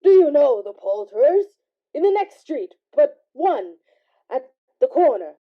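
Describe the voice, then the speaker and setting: A woman's voice: high voice, big pitch swings, a little slow, muffled recording. A woman playing an overjoyed, eager old reformed miser in a radio play, effusive and theatrical. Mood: giddy, overjoyed. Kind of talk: stage-style radio play acting.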